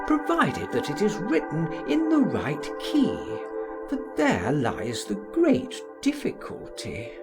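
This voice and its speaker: Old male poet voiced by a male narrator: dry, grudging, drawn-out, pitch lifted high, audiobook character. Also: wildly swooping pitch, over loud music, exaggerated voice. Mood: grudging approval.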